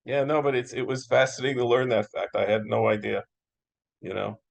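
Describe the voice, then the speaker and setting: A man's voice: medium pitch, fairly quick, gravelly. An American man moderating an interview in a casual, agreeable, conversational style, often echoing and affirming.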